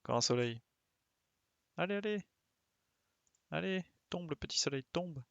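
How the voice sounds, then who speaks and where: A man's voice: on the high side, animated, measured pace, vocal fry, fairly bright tone, dry recording. A man giving casual live game commentary, muttering his reactions to himself. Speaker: game streamer.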